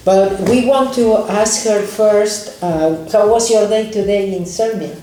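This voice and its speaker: A woman hosting a casual chat with guests, leading the questions in a warm, playful way. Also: medium pitch, a little slow. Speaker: seminar leader hosting a chat. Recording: very echoey room, faint music.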